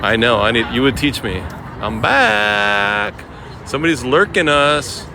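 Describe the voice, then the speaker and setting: A man's voice: fairly high pitch, sing-song, unhurried, creaky, crowd noise. A man hosting a casual livestream while walking outdoors by a city waterfront at sundown, rambling and greeting viewers by name. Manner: rambling, chatty, reads out viewer names. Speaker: livestream host. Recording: loud outdoor city noise. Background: city traffic, trains and boats.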